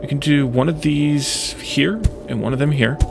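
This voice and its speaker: A man's voice: fairly high pitch, steady tone, normal speed, vocal fry, over music. A man giving casual let's play commentary, thinking aloud in a hesitant, self-questioning way.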